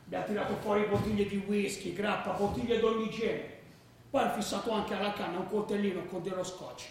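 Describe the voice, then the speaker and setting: A man's voice: sits high, steady tone, fairly quick, vocal fry. An actor playing a young male football ultra, telling rowdy stories in colloquial radio-drama style. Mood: animated recounting. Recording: very echoey, distant, faint noise.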